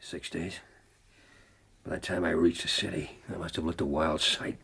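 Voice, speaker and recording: A desperate, weary frontiersman for radio drama. The voice is deep and full of gravel, badly husked. He speaks gravely and intensely, as one haunted by lost love. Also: flat delivery, normal speed, sharp tone, heavily acted. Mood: bleak, grieving. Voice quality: gravelly, husky. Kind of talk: radio drama acting and narration.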